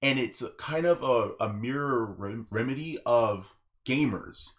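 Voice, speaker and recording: A man's voice: medium pitch, unhurried. A man hosting a casual podcast discussion, talking reflectively and telling things through imagined quotes. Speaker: podcast host.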